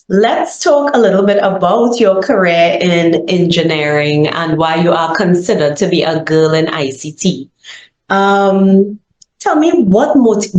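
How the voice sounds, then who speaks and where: A woman's voice: lowish voice, a little mellow, reverberant, low-quality recording. A woman interviewer asking questions in a polite, upbeat way. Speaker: interviewer, communications manager.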